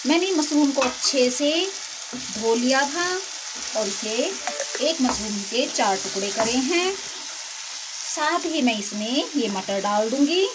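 A woman's voice: sits high, takes it slowly. Woman narrating cooking over sizzling pan. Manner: plain, steady explaining of each step. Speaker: cooking channel host. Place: home kitchen. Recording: clear kitchen cooking noise. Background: sizzling and stirring in a pan.

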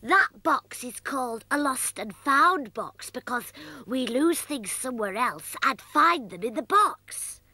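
A woman's voice: high-pitched, lively intonation, slow, bright, forceful tone, dry recording, pitched above the performer's own voice, heavily acted. A woman voicing a curious, earnest little puppet child in a children's show. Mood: eager curious.

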